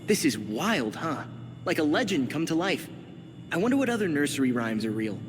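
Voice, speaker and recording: A man's voice: on the high side, big pitch swings, normal speed, noisy background. An actor playing an earnest, inquisitive young male vigilante in a video game cutscene. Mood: intrigued. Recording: long reverb, loud music behind.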